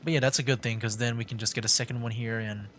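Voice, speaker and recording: A man's voice: medium pitch, fairly even intonation, fast talker, noisy background. A man livestreaming a video game, thinking aloud in a casual, rambling, hesitant way. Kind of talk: casual gameplay commentary.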